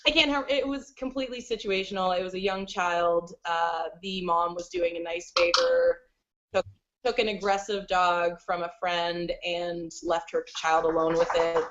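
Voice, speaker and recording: A woman chats as a podcast guest, her words tumbling out quickly and sprinkled with "like." Her voice sits fairly low, and the call audio sounds muffled and lo-fi. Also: steady tone, fairly bright tone, slight background noise. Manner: quick, chatty, peppered with "like". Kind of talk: casual interview chat.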